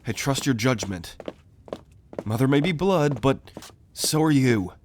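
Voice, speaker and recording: A man's voice: mid-range voice, expressive, unhurried, slight background noise, lightly acted. A man playing a loyal, principled young prince in a fantasy audio roleplay, with an earnest, courteous manner.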